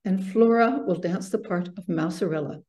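Woman reading as kindly mouse ballet teacher, mellow-voiced. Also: on the low side, moderate pace, natural voice. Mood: announcing. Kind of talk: children's storybook read-aloud.

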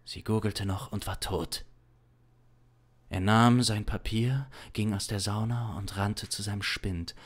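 A man in his thirties improvising a dark crime narration in a dramatic, intense manner, close on a studio mic. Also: fairly low pitch, steady tone, unhurried. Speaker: improvising audio drama performer. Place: small home studio, indoors. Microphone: close studio condenser mic. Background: instrumental music.

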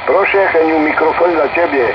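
Male CB operator, halting phrasing, fairly high voice, muffled static-laden transmission. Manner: halting, simple broken phrasing. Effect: CB radio transmission. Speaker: CB radio operator. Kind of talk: casual CB radio contact. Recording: muffled, noisy CB radio reception.

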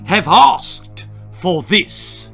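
Bullying turkey ghost, military bark, sneering, high pitch, wildly animated, storybook character. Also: male voice, measured pace, faint music behind, full character voice. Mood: furious, bellowing. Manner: barking, sneering military commands. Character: bossy drill-sergeant turkey ghost, male, younger, menacing.

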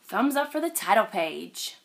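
A woman reading a children's picture book aloud in an expressive storytelling style. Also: medium pitch, unhurried, vocal fry. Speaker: read-aloud host.

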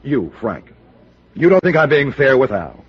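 Husky, vain, self-pitying radio-drama star. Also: male voice, medium pitch, big pitch swings, a little slow. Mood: defensive. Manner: hesitant, pleading, then boastful. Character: self-pitying, vain male TV comedy star under death threat. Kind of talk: old-time radio drama acting. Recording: dull vintage radio recording.

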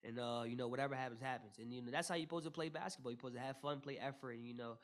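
A young man, a basketball player, answering questions at a postgame press conference into a table mic, with a steady delivery full of "you know" fillers and restarts. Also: medium pitch, rapid-fire. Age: young adult. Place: press room with logo backdrop. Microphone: table mic in front.

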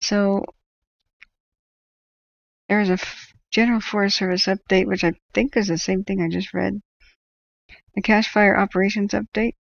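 Chatty woman with a creaky voice. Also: medium pitch, steady tone, normal speed, pauses often, no echo, dull audio. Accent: American. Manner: chatty, self-correcting, interjects small reactions. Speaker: livestream host tracking wildfires. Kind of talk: informal livestream reading of official updates with side comments.

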